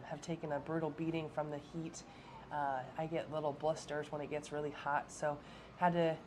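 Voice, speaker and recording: A woman's voice: low voice, a little mellow, a little hiss or hum. A woman co-hosting a travel vlog with a stuffed-up nose from a head cold, chatting casually with lots of ums. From American Midwest. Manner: chatty, frequent ums and uhs.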